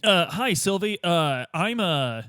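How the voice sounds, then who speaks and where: A man's voice: high voice, expressive, slow, sharp tone, smooth, no echo, studio quality. A man playing a story game, casually improvising in a bewildered, hesitant and exasperated way. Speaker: player, podcast co-host. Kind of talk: casual improvised role-play.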